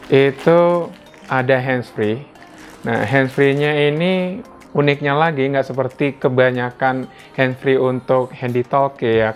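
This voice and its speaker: A man with an Indonesian accent giving a casual, conversational unboxing narration as a product reviewer, explaining things informally. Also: mid-range voice. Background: background music.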